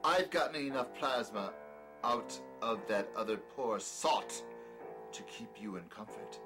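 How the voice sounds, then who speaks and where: A man's voice: fairly low pitch, lively intonation, measured pace, over music. Man playing a wildly swooping mad scientist. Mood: gloating, condescending. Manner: ranting, sneering, swinging between outbursts and mutters. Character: manic mad scientist doctor, cruel, grandiose and condescending. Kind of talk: theatrical horror audio drama acting.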